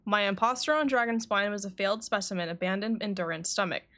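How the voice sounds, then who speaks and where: Woman voicing a composed male alchemist, measured, explanatory, game dialogue, medium pitch. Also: a bit bright, close to an everyday voice. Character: calm, measured male alchemist confessing in court.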